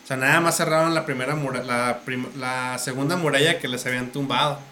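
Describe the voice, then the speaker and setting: A man's voice: medium pitch, steady tone, fairly quick, bright, forceful tone, a little hiss or hum. A man with a Mexican accent chatting casually and explaining things at length, often restarting his sentences. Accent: Mexican Spanish. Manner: explanatory, stumbles and restarts while building a point. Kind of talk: casual chat about an anime series.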